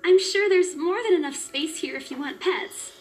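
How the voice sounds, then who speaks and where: A polite flustered young agent girl in a game, high voice, unsure of herself, bit of music. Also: moderate pace. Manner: hesitant, deferential. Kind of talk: visual novel game voice acting. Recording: music playing behind the voice.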